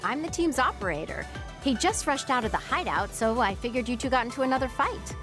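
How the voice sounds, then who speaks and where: Girl voicing perceptive anime game operator, high lively voice, helpful, music in back. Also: fairly quick, natural voice. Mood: informative. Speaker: team operator. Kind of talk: English anime game dub acting.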